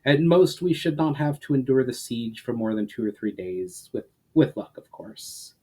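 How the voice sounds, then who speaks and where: A man reads the part of a male elf war leader in a fantasy storybook. He is decisive and commanding, with a middle-pitched voice. His delivery is assured, deliberate and matter-of-fact. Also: lively intonation, mellow, slightly rough. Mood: grave, explanatory.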